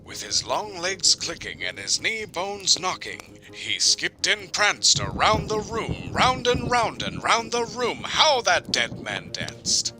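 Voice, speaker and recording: A man's voice: high-pitched, wildly swooping pitch, slow pace, few pauses, over music, exaggerated voice, poor audio. A man playing a folksy village storyteller, telling a spooky tale rhythmically and expressively in an audio drama. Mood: relishing, spooky.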